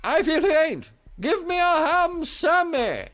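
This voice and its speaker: Shrill fussy old king. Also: very animated, fairly bright tone, faint music behind, full character voice. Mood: fussy excited. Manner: shrill, drawn-out, theatrical.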